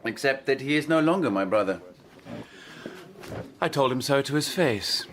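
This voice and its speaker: An actor playing a bitter, indignant, curtly formal young Victorian gentleman in a radio drama. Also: medium pitch, animated, moderate pace, slight background noise, close to an everyday voice. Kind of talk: period radio drama acting.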